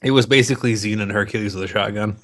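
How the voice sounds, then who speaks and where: A man's voice: on the low side, steady tone, very fast, a bit bright. A man co-hosting a podcast, joking casually about films in crude, slangy language. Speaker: podcast co-host.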